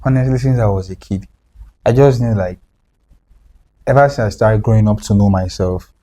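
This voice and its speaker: A young man, talking about his writing in loose, casual conversation. His voice is fairly low and mellow, and he explains himself with repeats and fillers. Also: moderate pace, slight background noise. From Nigeria. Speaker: writer guest.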